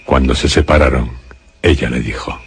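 A man with an accent from Spain narrating a scripted radio suspense story in a solemn, dramatic, deliberately phrased way. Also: a bass voice, steady tone, gravelly, slightly rough.

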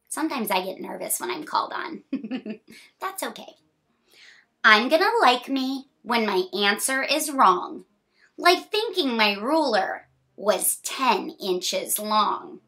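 Bright-voiced woman reading to children, unhurried. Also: fairly high pitch. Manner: expressive, encouraging, sing-song reading; giggly, smiling delivery. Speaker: children's read-aloud host. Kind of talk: reading a picture book aloud to children, with chatty asides. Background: music.

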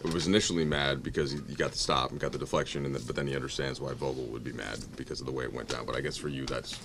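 A male sports reporter asking rapid, rambling postgame questions with restarts. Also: a bass voice, steady tone, vocal fry, background noise.

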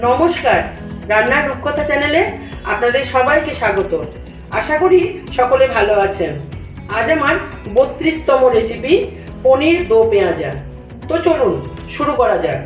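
High, lilting woman cooking host. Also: lively intonation, moderate pace, pauses often. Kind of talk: recipe voice-over instruction. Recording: roomy echo, music behind.

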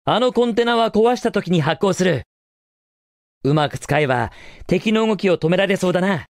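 A man's voice: sits high, animated, moderate pace, no echo, studio quality. A male actor voicing a cocky, daring young cartoon hedgehog hero in a video game, with cool, confident, casual delivery. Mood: observant, cool. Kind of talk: video game voice acting, short in-game lines.

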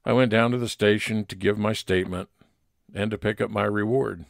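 A man's voice: lowish voice, fairly even intonation, a little fast, gravelly, dry recording, studio quality. A man playing a private investigator who narrates his case plainly, in old time radio detective style. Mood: matter-of-fact.

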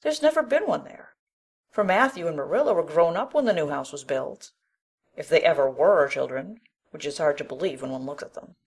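A woman's voice: low-pitched, animated, fairly quick, husky, exaggerated voice. A woman playing an opinionated, gossipy older village busybody in a dramatic audiobook, with a blunt, scolding, folksy delivery. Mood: astonished, pitying.

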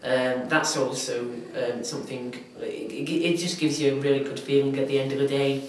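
A young man speaks in a flat, even tone, pausing on fillers. He sits back from the mic in a very echoey room, with music playing underneath. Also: medium pitch. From Carmarthenshire, Wales. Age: young adult. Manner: flat, measured, hesitant with fillers. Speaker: youth council volunteer. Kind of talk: documentary interview. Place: indoor room. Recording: very echoey, distant, music over.

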